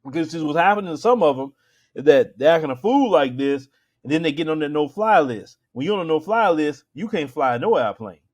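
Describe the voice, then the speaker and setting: A man's voice: fairly high pitch, expressive, brisk, a little mellow. An American man hosting a show, giving casual opinion commentary in an emphatic, colloquial, conversational way.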